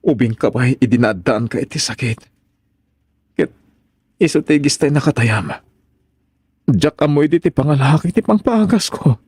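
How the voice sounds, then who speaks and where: A man's voice: mid-range voice, expressive, moderate pace, pauses often, a little mellow, slightly rough, no room sound. A man acting in a radio drama as a tender, remorseful middle-aged father back from prison, asking forgiveness.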